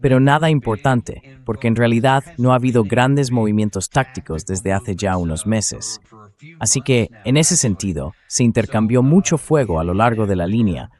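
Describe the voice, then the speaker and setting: A man's voice: lowish voice, a little mellow. A synthetic AI man's voice reading a dubbed translation of explanatory military commentary.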